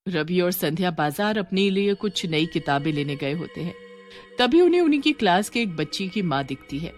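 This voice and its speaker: A woman's voice: on the low side, animated, moderate pace, soft background music. A woman narrator reading a scripted horror story.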